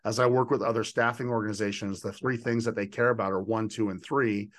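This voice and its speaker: A man's voice: lowish voice, fairly even intonation, a little fast. A man hosting a conversational interview, speaking in an enthusiastic, affirming and emphatic way as he lays out his ideas. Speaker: podcast host and leadership coach.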